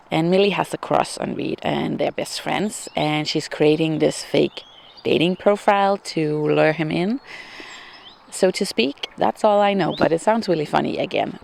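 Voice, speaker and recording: Gravelly-voiced woman chatting about books. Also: low voice, darkish tone, a little hiss or hum. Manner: chatty, hesitant with frequent ums and small laughs. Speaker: book vlogger. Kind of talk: casual book chat. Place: outdoors.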